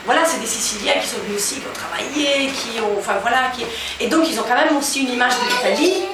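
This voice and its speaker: A woman's voice: on the high side, quick pace, a loud crowd. A young woman from central Italy, talking in an interview and thinking aloud as she searches for words. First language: Italian. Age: young adult. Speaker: interviewee, cultural association co-founder. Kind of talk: casual interview talk. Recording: echoey room, distant mic, noisy.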